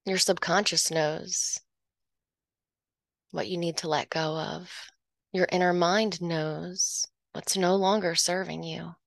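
A woman leading a guided hypnosis meditation, speaking in a slow, soothing, hypnotic way and dropping softly into whispers. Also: on the low side, fairly even intonation, creaky, no echo, studio quality.

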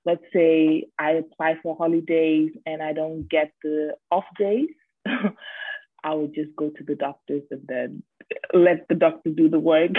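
A woman's voice: lowish voice, husky, no room sound. A woman in her twenties from Germany, a podcast guest chatting casually and openly, with frequent ums and easy laughs. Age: 20s. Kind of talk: casual interview chat. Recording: tinny phone-like line.

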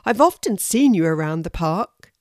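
A woman voicing a kindly, polite male hedgehog in a children's story, speaking in a gentle, plain-spoken, concerned manner. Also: lowish voice, animated, moderate pace, no echo, clean studio sound, lightly acted. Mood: friendly.

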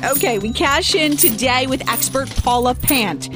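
A woman's voice: sits high, a little slow. Woman hosting a podcast over music. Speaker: podcast host.

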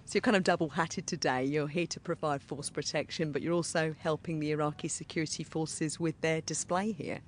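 Military interviewer, clear measured delivery, scripted intro, outdoors on base. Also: medium pitch, fairly even intonation, a little hiss or hum.

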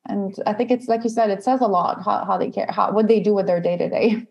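A woman whose first language is French, talking in a casual podcast interview in a chatty, opinionated way, full of fillers and quick laughs. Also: mid-range voice, vocal fry, lo-fi sound. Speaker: guest, dating content creator.

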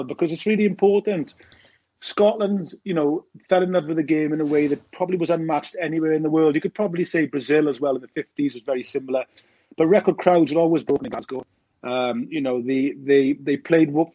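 A husky-voiced Welsh man in his forties talks fast in a rambling way, full of fillers and restarts. His voice is dry and close, over a dull, lo-fi remote call line. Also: fairly high pitch, mellow, dry recording. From Wales. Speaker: documentary director. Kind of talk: casual podcast interview.

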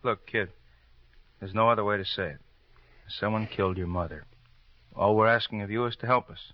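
A man's voice: lowish voice, a little fast, dry recording, natural voice. A man playing a blunt, impatient police detective in a vintage radio drama, questioning insistently in clipped phrases. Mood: coaxing. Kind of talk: 1940s-50s radio drama acting. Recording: muffled old radio recording, dry studio.

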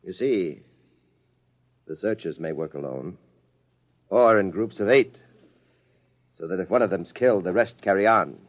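An actor playing a fast-talking, falsely genial movie man with menace underneath, in 1950s radio drama style. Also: low-pitched, highs cut off. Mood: slyly menacing. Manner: glib, hearty, bossy, drawling out hints.

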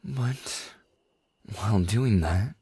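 A man acting a tender, lovestruck boss in an intimate boyfriend roleplay, speaking softly and hesitantly with a husky, breathy voice. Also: on the low side, lively intonation, dry recording, exaggerated voice. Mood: pained, loving. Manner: soft, hesitant, slow with long pauses. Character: tender, lovestruck male boss confessing to an employee. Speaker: audio roleplay performer.

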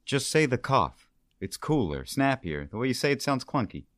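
A man's voice: medium pitch, sing-song, moderate pace, a bit bright, dry recording. A laid-back radio engineer voiced by a man teases the host. He speaks offhandedly in a medium voice with a dry, close sound. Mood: offhand. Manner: offhand, teasing.

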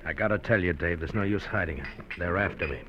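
Man playing low-voiced pushy hunted crook. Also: fast talker, natural voice. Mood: nervous, pushy. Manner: blunt, insistent, slangy. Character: hunted, pushy small-time crook demanding help. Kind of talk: old-time radio crime drama acting. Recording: muffled, hissy old radio recording.

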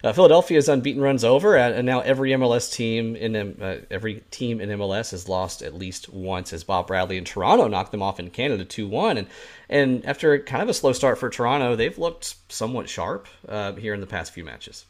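A man hosting a soccer talk show, leading the rundown and moving from topic to topic with steady segues. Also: fairly low pitch, brisk. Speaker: soccer podcast host. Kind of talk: sports talk, running the rundown.

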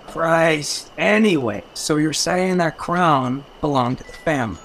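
An actor playing an irreverent, scheming rogue with a flippant, sardonic manner in a radio drama. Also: male voice, a little high, expressive, a little slow, fairly bright tone, a little hiss or hum. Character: irreverent, scheming male rogue in detective mystery. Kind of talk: old-time radio drama acting.

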